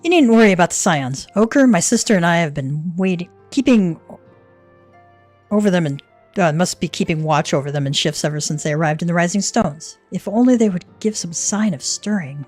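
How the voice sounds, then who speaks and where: A woman's voice: fairly low pitch, lively intonation, a little fast, a little mellow. Woman reading as a dutiful fantasy game comrade, earnest, emphatic, solemn, faint background music. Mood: concerned. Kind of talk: read-aloud of fantasy game dialogue.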